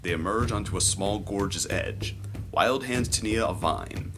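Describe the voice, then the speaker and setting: A man narrating a scripted adventure story in a measured, descriptive, dramatic storytelling style. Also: lowish voice. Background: music.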